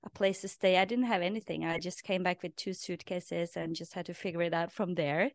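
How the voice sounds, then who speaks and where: A woman's voice: fairly low pitch, fairly even intonation, brisk, dry recording. A woman from Norway, speaking English as a second language, telling her story earnestly as a podcast guest and often repeating a word as she restarts. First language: Norwegian. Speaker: podcast guest, life purpose coach. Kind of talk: casual storytelling interview.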